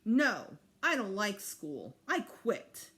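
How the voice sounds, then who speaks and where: A woman's voice: mid-range voice, big pitch swings, takes it slowly, fairly bright tone. A woman voicing a cheeky, stubborn kindergarten boy in a storybook, with blunt, defiant declarations.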